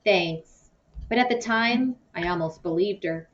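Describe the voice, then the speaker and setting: A woman's voice: medium pitch, measured pace, close to an everyday voice. A woman voicing an earnest, determined schoolgirl from a children's novel read aloud. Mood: confiding. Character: earnest, determined schoolgirl telling her own story. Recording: distant, muffled microphone.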